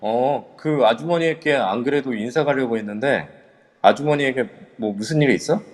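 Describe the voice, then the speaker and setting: A man playing a male kendo instructor who leads a ghost-hunting group, calm and in charge. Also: mid-range voice, normal speed, long reverb tail, natural voice. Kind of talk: amateur audio drama acting.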